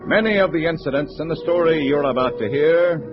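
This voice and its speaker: A male announcer with a fairly high voice delivers a scripted, declamatory 1950s radio introduction. The recording is dull and vintage, with very loud music behind him. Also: fairly quick. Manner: dramatic, declamatory.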